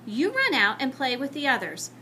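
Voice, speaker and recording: A woman's voice: high-pitched, huge pitch swings, normal speed, bright, forceful tone, a little hiss or hum, heavily acted. A woman voicing a stern but concerned female schoolteacher in a children's storybook, firm and admonishing.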